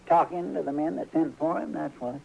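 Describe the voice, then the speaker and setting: An actor playing a cheeky, argumentative, folksy sidekick with a rural Western American drawl in an old radio drama. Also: male voice, a little high, fairly even intonation, brisk, gravelly, a little mellow. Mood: testy, sassy. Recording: dull, noisy old radio recording.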